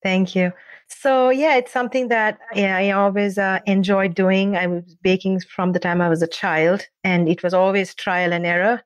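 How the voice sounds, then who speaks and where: A woman from Sri Lanka, a baker and cafe owner, answering interview questions in a passionate, halting way. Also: mid-range voice, steady tone, smooth, dry recording. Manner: warm, passionate, halting with fillers.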